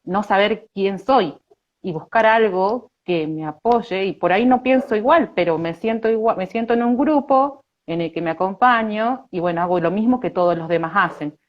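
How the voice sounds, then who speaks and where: A woman's voice: medium pitch, normal speed, lo-fi sound. A woman with an Argentine Spanish accent joining a lecture conversationally with her own examples.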